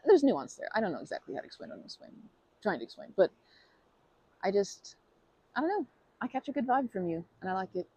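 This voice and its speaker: A woman's voice: medium pitch, sing-song, quick pace, pauses often, warm tone, husky, no room sound. A woman doing an ASMR roleplay as a friendly stranger who talks to the listener, rambling and hesitant, often restarting and correcting herself in an earnest, chatty way. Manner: friendly and earnest, with light laughs.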